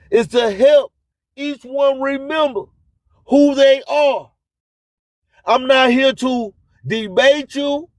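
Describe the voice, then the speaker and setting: Guy radio host, really high voice, slow slogans n rhymes, preachy, dry sound. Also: dry recording. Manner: preachy, rhyming wordplay, deliberate phrasing. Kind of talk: slogan-heavy motivational radio monologue with promos.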